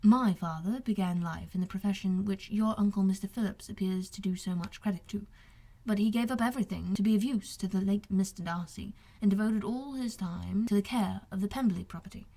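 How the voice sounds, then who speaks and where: A woman voicing a wronged young gentleman officer in an audiobook, talking in a formal, earnest, confiding way. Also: medium pitch, brisk, lightly acted, rough recording. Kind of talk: audiobook character dialogue.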